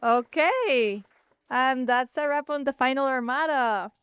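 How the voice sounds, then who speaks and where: A woman's voice: high-pitched, a little slow, fairly bright tone, clear, resonant tone. A woman hosting a live script reading on a theater stage, upbeat and encouraging. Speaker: event host.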